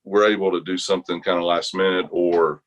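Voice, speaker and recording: A man's voice: deep voice, little pitch movement, a little fast. An American man chatting casually as an interview guest, thinking aloud in loose, broken phrases. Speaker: interview guest, bass gospel quartet singer.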